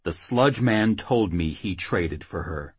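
A man's voice: low voice, lively intonation, slow pace, husky, full character voice. A man playing an angry fantasy fighter in an audiobook, demanding answers in growls and hisses. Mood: menacing. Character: angry, threatening male fantasy fighter with a knife.

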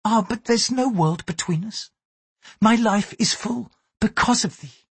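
A man's voice: high-pitched, measured pace, fairly bright tone, husky, no room sound, voice pushed up, full character voice. A man reading aloud, voicing a poised young Japanese noblewoman with a formal, archaic way of speaking. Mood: tender. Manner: formal, archaic thee-and-thou speech, apologetic "so sorry".

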